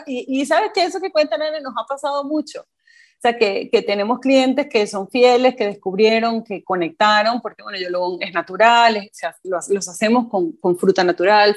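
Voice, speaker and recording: Enthusiastic Venezuelan woman guest. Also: medium pitch. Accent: Venezuelan Spanish. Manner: warm, enthusiastic, with frequent hesitations. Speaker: podcast guest, ice cream entrepreneur. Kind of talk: casual interview chat.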